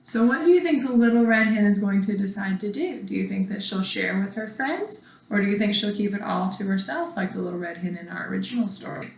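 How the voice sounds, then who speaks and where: A woman's voice: mid-range voice, fairly quick, gravelly, few pauses. A woman schoolteacher reading a children's storybook aloud expressively, as if to her young students. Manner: expressive, asks young listeners questions. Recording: echoey room.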